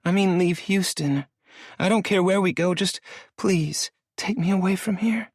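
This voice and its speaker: A man's voice: high-pitched, a little slow, breathy edge, no room sound, studio quality, pitched above the performer's own voice, heavily acted. A man voicing a sobbing young woman. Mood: pleading tearfully. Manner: breathless, begging. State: crying. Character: distraught young woman, pleading and tearful.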